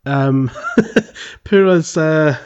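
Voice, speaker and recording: A man co-hosting a podcast, chatting casually about a video game in a hesitant, halting way. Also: fairly high pitch, a little mellow, clear, resonant tone, dull audio. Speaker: podcast co-host.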